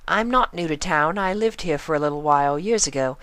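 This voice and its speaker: A woman's voice: low voice, animated, a little fast, fairly bright tone, no room sound, pitched above the performer's own voice. A woman voicing a polite, refined young unicorn mare in a cartoon story, genteel and hesitant. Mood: nervous, polite.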